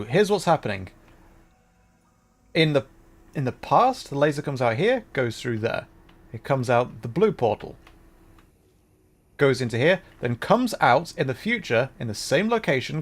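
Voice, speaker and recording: A man doing casual live gameplay commentary, thinking aloud and playfully repeating words as he goes. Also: medium pitch. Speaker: VTuber game streamer.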